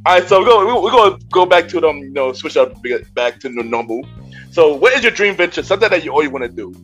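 Fast-talking male podcast host, slightly high voice. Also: big pitch swings, fairly bright tone, muffled recording, faint music behind. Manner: agreeable, repeats words and phrases. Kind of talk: casual interview chat.

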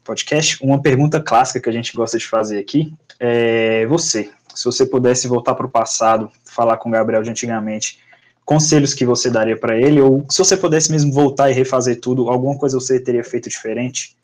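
A young man, hosting a student podcast in a relaxed, friendly way. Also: medium pitch, fairly quick. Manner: relaxed, friendly sign-off patter. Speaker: podcast host.

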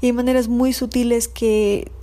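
A woman's voice: a little high, flat delivery, moderate pace, warm tone. A woman speaking in a thoughtful, hesitant way in a casual discussion, often repeating words as she thinks.

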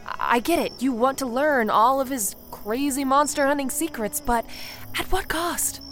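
A woman's voice: high voice, moderate pace, fairly bright tone, soft background music. An actress playing a sarcastic, hot-headed female monster bent on revenge in a radio drama, speaking with emphatic attitude. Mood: pleading, frustrated. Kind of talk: radio drama acting with narration.

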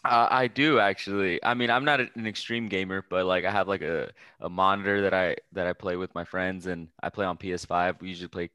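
Casting: young male podcast guest, smooth lowish voice, dry headset sound, casual. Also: steady tone, a little fast, few pauses, bright, forceful tone, no echo. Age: young adult. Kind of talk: casual chat. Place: room at home. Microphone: gamer headset.

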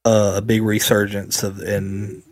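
A man on a podcast panel chatting casually about films in a halting way, often repeating words. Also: on the low side, completely flat delivery, a little hiss or hum.

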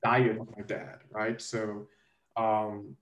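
A man's voice: lowish voice, completely flat delivery, takes it slowly, a bit bright. Echoey, distant male interviewer from Cameroon. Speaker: interviewer, host. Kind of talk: casual conversational interview. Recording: echoey room, distant from mic.

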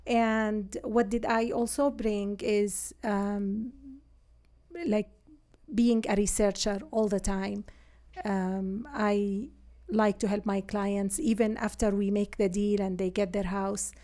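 A middle-aged woman from Syria with a Syrian Arabic accent, Arabic her first language, answering interview questions in an earnest, halting way full of fillers and restarts. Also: medium pitch, little pitch movement, dark tone. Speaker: guest, real estate agent.

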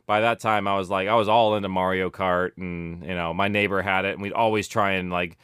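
A man speaks in a low, crisp voice with a very dry sound. He chats casually as a guest, rambling through his answers and often restarting or correcting his words. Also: brisk, no room sound. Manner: animated rambling, self-correcting, peppered with "like" and "you know". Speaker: interview guest, audio drama producer. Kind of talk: casual interview chat.

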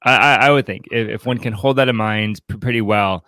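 A man with a fairly low voice hosts a casual discussion about books. He speaks thoughtfully and haltingly, with bookish phrasing. The sound is close and dry, with little room around it. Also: pushed and bright, clean tone, no room sound. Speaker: podcast host.

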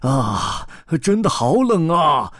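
A man voicing excitable young elephants in a storybook reading, squealing and shouting in high voices. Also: slow, no room sound, studio quality, full character voice. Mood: excited.

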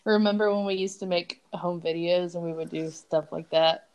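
A woman's voice: fairly low pitch, fairly even intonation, normal speed, darkish tone. A woman co-hosting a podcast in casual chat, laughing often and speaking earnestly. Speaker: podcast co-host.

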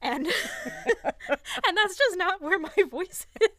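A woman's voice: extremely high pitch, big pitch swings, slow pace, a bit bright, no echo, clean studio sound. A woman co-hosting a podcast in a bubbly, giggly manner, with a youthful-sounding voice and casual chat. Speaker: podcast co-host.

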